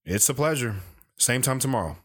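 A man's voice: mid-range voice, expressive, brisk, fairly bright tone. A man playing an earnest, reflective drifter who tells his life story plainly and sincerely in an audio drama.

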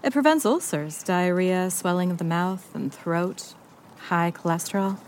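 A woman's voice: fairly low pitch, unhurried, a little hiss or hum, natural voice. A woman playing a soothing, eager restaurant server in an audio drama, reassuring and coaxing. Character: soothing, eager female server pushing strange health food.

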